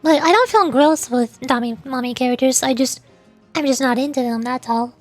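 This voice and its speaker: A woman with a small, squeaky, girlish voice, chatting casually and earnestly to viewers on a livestream. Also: moderate pace, soft background music. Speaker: streamer and VTuber, voice actor.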